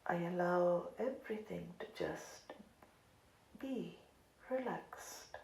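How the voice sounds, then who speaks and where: Female meditation guide: calm, unhurried, slightly low and creaky, pausing often, roomy recording. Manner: calm, deliberate, long pauses between phrases. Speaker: meditation teacher. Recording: roomy echo, light background noise.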